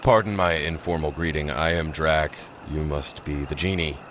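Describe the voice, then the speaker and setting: A man's voice: rumbling low, fairly even intonation, moderate pace, a bit bright, a little hiss or hum. A man playing a proud, brooding vampire lord in a video game, formal and courtly with a dry, sardonic manner. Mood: courteous. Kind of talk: scripted video game dialogue.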